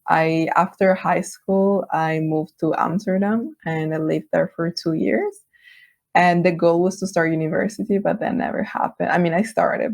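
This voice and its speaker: A woman with an Italian accent whose first language is Italian, chatting as an interview guest, friendly and quick to laugh. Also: deep voice, creaky, a little mellow, dry recording. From Italy. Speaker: guest, brand designer. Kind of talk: casual interview chat.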